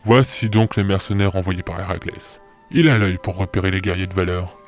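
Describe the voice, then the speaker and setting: A man playing a calm, knowing oracle in an amateur audio drama, explaining things in a measured, solemn way. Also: deep voice, lightly acted. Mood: solemn, helpful. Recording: faint music behind the voice.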